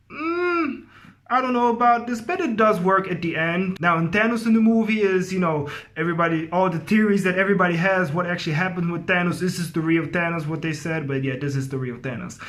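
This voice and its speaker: High-pitched man, casual movie reviewer. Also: fairly bright tone. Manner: enthusiastic, informal, repeats phrases for emphasis.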